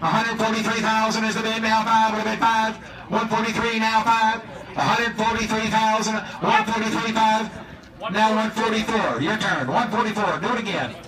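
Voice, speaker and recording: An American man calls an auction in a high-pitched, rhythmic chant, repeating bids and prodding bidders. He is outdoors and heard from a distance, with echo on his voice and a crowd around him. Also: flat delivery, normal speed. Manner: repetitive, rhythmic bid calling, pushing bidders. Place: outdoors on a marina dock. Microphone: picked up by a distant camera.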